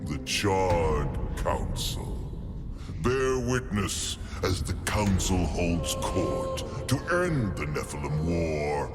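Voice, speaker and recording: An actor voicing a grave, ancient council spirit in a game cutscene, solemn and portentous, with a gravelly voice. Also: male voice, sits low, huge pitch swings, very slow, full character voice. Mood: ominous, grand. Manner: solemn, portentous, theatrical delivery. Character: grave ancient male council spirit, ominous and commanding judge. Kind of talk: dramatic scripted game cutscene narration. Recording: loud music under the voice. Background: loud music.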